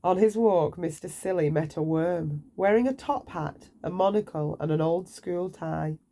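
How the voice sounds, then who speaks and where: A woman storyteller reads to young children at bedtime. Her voice is slightly low and very mellow, and she takes her time with expressive, deliberate phrasing. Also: vocal fry, a little hiss or hum. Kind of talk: read-aloud children's bedtime story narration.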